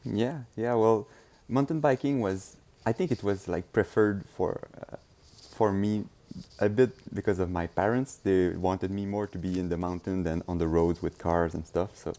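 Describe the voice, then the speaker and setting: A man's voice: sits low, moderate pace, dark tone. A young man from Quebec with a Québécois French accent, giving easygoing, hesitant interview answers in English, his second language. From Quebec, Canada. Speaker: guest, gravel racer.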